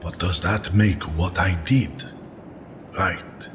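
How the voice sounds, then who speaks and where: Brooding, guilt-stricken hero, male actor, audio drama monologue: lowish, halting, very slow. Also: steady tone, slight background noise. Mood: anguished. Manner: anguished, halting. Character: anguished young strongman hero, male, guilt-ridden and brooding.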